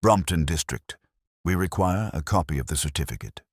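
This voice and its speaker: A man voicing a coolly analytical, commanding Victorian detective in an audiobook, speaking with precise, deliberate phrasing. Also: low voice, animated, no room sound, clean studio sound. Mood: measured, decisive.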